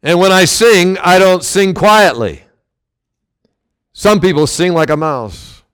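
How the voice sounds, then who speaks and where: A man preaching a sermon as pastor, loud and emphatic, with sudden swells and drops in his delivery. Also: high-pitched, slow, clear, resonant tone.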